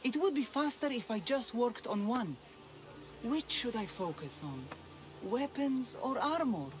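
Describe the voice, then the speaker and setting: An actress playing a young, self-doubting blacksmith's daughter in a video game, wry and hesitant. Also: female voice, a little high, animated, a little slow, music in the background. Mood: warming, hopeful. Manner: wry, hesitant, grumbling, sighing. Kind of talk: video game cutscene acting.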